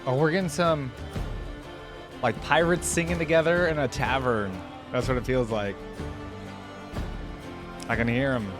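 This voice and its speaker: A man's voice: medium pitch, steady tone, measured pace, pauses often, music in the background. A man hosting a reaction video, giving casual off-the-cuff commentary in colloquial language as he thinks aloud.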